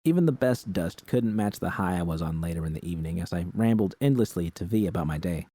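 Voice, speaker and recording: Guy reading a story out loud, low mellow voice, really dry recording. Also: lively intonation, quick pace, dry recording. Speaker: audiobook-style narrator of fan fiction. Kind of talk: scripted first-person story narration.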